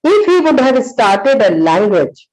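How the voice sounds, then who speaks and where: A woman's voice: fairly high pitch, very animated, darkish tone, low-quality recording. Earnest Indian woman in her sixties. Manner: earnest, storytelling, frequent pauses and uh fillers. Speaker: psychologist and motivational speaker. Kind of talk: motivational talk.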